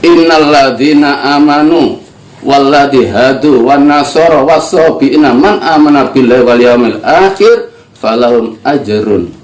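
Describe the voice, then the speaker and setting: A man's voice: mid-range voice, a little slow. An older man from East Java, giving an earnest, emphatic religious teaching talk. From East Java, Indonesia. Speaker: spiritual teacher. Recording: echoey room.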